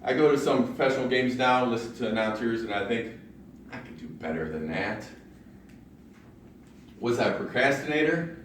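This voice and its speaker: A young man from Ohio with a deep voice, giving a prepared personal speech with self-deprecating jokes at a public speaking club. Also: expressive, normal speed, pauses often, distant mic, a little hiss or hum. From Ohio, USA. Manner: self-deprecating humor, storytelling with punchlines. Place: large meeting room in a library. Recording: very echoey room, recorded from afar.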